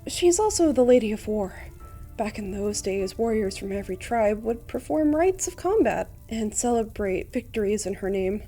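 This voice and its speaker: A woman's voice: medium pitch, very animated, normal speed, dark tone. A woman voicing a playful, poetic young male bard in a comic dub, with a lilting, theatrical delivery over music. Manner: lilting, theatrical, speaks in rhymes. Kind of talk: dramatized fan comic dub.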